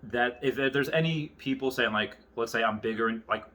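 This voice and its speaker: A man in his early thirties talking casually and off the cuff to viewers, rambling and often halting to circle back on his thoughts. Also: medium pitch, sharp tone. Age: early 30s. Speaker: YouTuber. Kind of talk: casual unscripted monologue to viewers.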